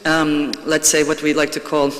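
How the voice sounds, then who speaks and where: A man gives a conference talk in a slightly high voice, pausing on fillers and restarting phrases. His voice rings out with the long reverb of a large hall. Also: monotone, fairly bright tone. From Croatia. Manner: hesitant, many fillers and restarts. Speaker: panel speaker.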